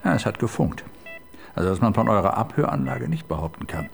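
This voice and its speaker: An actor in a radio crime play voicing the detective's teasing, playful partner. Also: male voice, low-pitched, very animated, fairly quick, gravelly, heavily acted. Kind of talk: radio crime drama acting. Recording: radio play with faint music.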